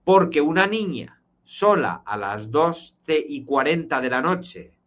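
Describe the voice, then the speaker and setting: A man, streaming live and recounting a true crime case in an emphatic, dramatic way, slowing down for key points. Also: fairly high pitch, lively intonation, fairly bright tone, dull audio.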